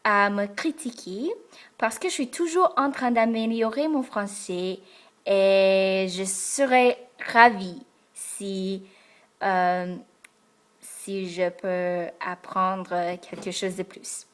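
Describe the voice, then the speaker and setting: A young American woman from Texas, a French learner speaking to the camera in a careful, hesitant way with many pauses and fillers. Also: medium pitch. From Texas, United States. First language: English. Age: young adult. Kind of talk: casual talk to camera. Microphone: camera in front of her.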